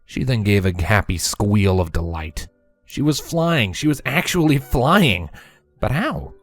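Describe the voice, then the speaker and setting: A man narrates fan fiction in a fairly low voice. His delivery is expressive and very varied in pitch, as scripted storytelling. Soft music plays behind him. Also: a little slow.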